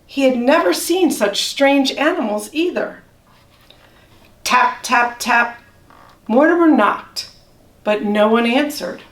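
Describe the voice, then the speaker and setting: Female storybook reader: higher-pitched, measured, expressive, recorded in a roomy space away from the mic. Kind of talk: children's storybook read-aloud. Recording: roomy echo, distant microphone.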